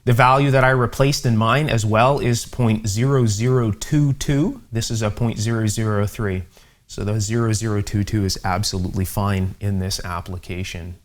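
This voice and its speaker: A man with a fairly low voice hosts a hands-on repair lesson. He explains things methodically and without hurry, slipping in conversational asides as he works at the bench. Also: steady tone, moderate pace. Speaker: host, electronics repair instructor. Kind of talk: hands-on workbench demonstration, explanatory. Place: indoor electronics workshop.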